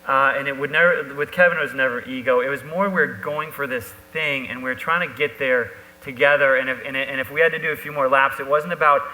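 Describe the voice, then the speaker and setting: A man speaking as an author in a live panel conversation, haltingly, often restarting and repeating his words. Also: medium pitch. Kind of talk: live on-stage conversation about a book. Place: live event hall with an audience. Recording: echoey hall, bright, faint hum.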